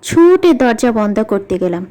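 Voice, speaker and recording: A woman's voice: medium pitch, big pitch swings, moderate pace, dark tone, smooth. A woman narrating a scripted first-person horror story in a dramatic, suspenseful way. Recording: music behind the voice. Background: background music.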